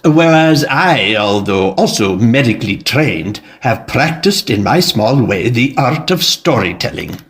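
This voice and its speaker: A self-assured Victorian man of letters in an audio drama. His voice is of middling pitch. He speaks formally and urbanely, with courteous, faintly pompous phrasing. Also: sing-song, measured pace, few pauses, a bit bright.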